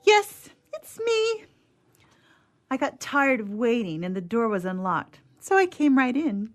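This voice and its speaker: A little romantic ingenue in a 1920s comedy radio play. The voice is high and swoops freely, drawing out its pouty, plaintive, melodramatic lines. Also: female voice, big pitch swings, measured pace, faint music behind. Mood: coy. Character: petulant, naive, romantic young ingenue, a little woman.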